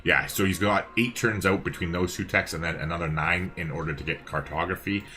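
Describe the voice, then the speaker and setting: A man with a very low, bright voice co-casts a strategy game on a stream. He talks casually and rambles through what he is checking, with a readiness to chuckle. Soft music plays faintly behind him. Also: steady tone, brisk. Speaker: esports-style co-caster and streamer. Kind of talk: casual live game commentary.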